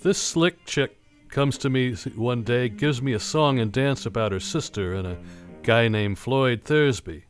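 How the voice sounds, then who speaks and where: An actor playing a hard-boiled, cynical private eye, narrating a radio play in slangy, matter-of-fact noir style. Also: male voice, medium pitch, unhurried, close to an everyday voice. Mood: cool, wry. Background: music.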